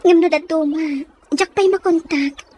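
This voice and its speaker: Wistful, dutiful Ilocano Filipina daughter played by a woman, very high voice, audio drama, plaintive delivery, noisy ambience. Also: measured pace, warm tone. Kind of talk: audio comedy-drama acting.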